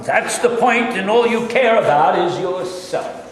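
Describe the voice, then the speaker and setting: Man playing a stern religious father, lecturing, high lively voice, echoey hall with long reverb. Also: unhurried, crowd noise. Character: strict pious father, middle-aged man. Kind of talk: staged play reading. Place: theater stage.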